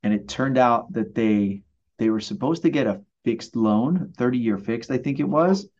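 A man's voice: medium pitch, a little slow. Chatty storytelling man, dull lo-fi audio. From born in Puerto Rico, raised in Brooklyn. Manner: animated, chatty storyteller with many fillers. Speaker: mortgage loan officer, guest. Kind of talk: casual storytelling interview.

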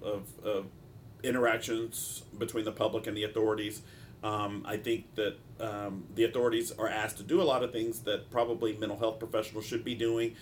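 A man with a stopped-up nose hosting a solo podcast in an informal, halting and discursive way, often drifting into asides. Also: on the low side, a little hiss or hum. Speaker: podcast host, mental health practitioner.

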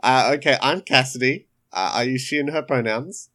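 A man's voice: medium pitch, normal speed, a bit bright. A man chatting and bantering casually as a guest player on a tabletop game podcast.

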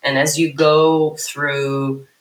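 A woman's voice: sits low, level tone, extremely slow. A woman in her thirties, a startup CEO guest on a podcast, telling her story in long rambling sentences full of fillers and restarts. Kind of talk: casual podcast interview. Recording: echoey room.

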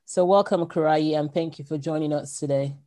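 Low-voiced woman hosting a podcast. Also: steady tone, brisk, dark tone. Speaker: podcast host. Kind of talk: casual interview chat.

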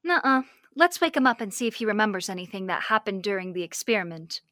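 A woman's voice: medium pitch, big pitch swings, fast talker, a bit bright, no room sound, studio quality. A young woman playing a spirited, curious space soldier in old-time radio serial style, with a perky, inquisitive manner. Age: young adult. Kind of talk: 1930s radio serial acting.

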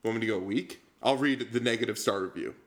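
A man's voice: on the low side, quick pace. A sleepy young man co-hosting a podcast, riffing and joking with a lot of swearing. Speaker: podcast co-host. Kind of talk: casual riffing chat.

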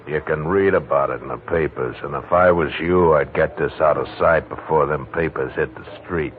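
A very deep-voiced gruff crook. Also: male voice, moderate pace. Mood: menacing. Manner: blunt, ungrammatical street talk. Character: gruff tough-talking male crook. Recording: tinny old radio broadcast.

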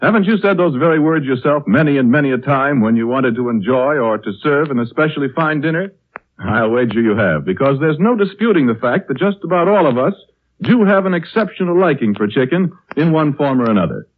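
A man's voice: mid-range voice, animated, a little fast. An American man announcing a sponsor's script in a polished, persuasive 1930s radio style. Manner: polished, persuasive sales delivery. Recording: tinny 1930s radio recording.

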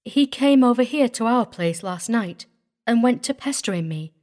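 A woman's voice: on the high side, expressive, moderate pace, a little mellow, voice pushed up, lightly acted. A woman voicing a simple, devoted young mountain wife in a storybook, earnest and prim, with a rural Southern US accent. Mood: apologetic, earnest.

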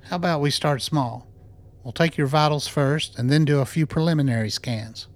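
A man's voice: fairly high pitch, normal speed, natural voice. A man playing a courteous, composed doctor in a radio drama, speaking in a polite, clinical manner.

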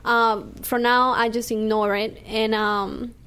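A woman's voice: on the high side, flat delivery, slow, sharp tone, smooth. A young woman from Venezuela with a Venezuelan accent, a guest chatting casually in a radio interview, filling her talk with "um" and "you know.".

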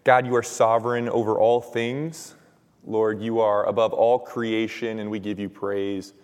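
Raspy young man preaching in a hall. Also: on the low side, little pitch movement, measured pace. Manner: casual, filler-heavy, earnest. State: hoarse from shouting at camp. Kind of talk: sermon with prayer. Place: church hall. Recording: long reverb of a church hall.

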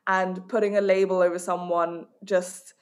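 A woman's voice: on the low side, level tone, normal speed, darkish tone. A woman from Hong Kong, working as a life coach and author, giving direct and emphatic answers in a podcast interview.

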